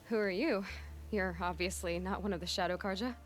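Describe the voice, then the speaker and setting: A woman's voice: medium pitch, brisk, faint music behind, natural voice. A woman playing a wary, impatient young huntress in a video game, terse and skeptical. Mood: wary, direct. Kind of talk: naturalistic video game acting.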